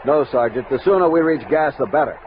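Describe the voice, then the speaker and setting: An actor playing a resolute, reflective army captain, narrating a 1960s radio western with dramatic command. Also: male voice, medium pitch, lively intonation, quick pace, natural voice. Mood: determined. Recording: noisy tinny vintage 1960s radio recording.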